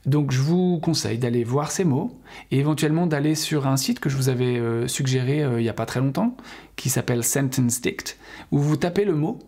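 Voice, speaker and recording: A man's voice: mid-range voice, fairly quick, dark tone. A middle-aged French man giving an encouraging spoken lesson to camera, earnest and full of fillers and restarts. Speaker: English teacher.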